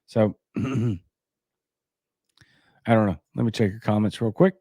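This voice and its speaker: A man's voice: fairly low pitch, unhurried, mellow, husky, no echo. Cajun dude reading news to his chat, doubting everything, rambles a lot, dry sound no room. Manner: skeptical, rambling, scoffing asides. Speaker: livestream host. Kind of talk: casual livestream, reading news and viewer comments.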